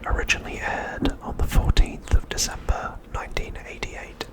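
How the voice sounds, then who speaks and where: A man whispering a scripted recap as ASMR narration, softly and with deliberate pauses, close to the microphone.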